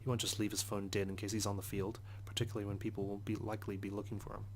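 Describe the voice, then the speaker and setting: A man reading visual novel narration aloud as a let's play host, subdued, with hushed asides. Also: fairly low pitch, flat delivery, very fast, a little hiss or hum. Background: soft guitar music.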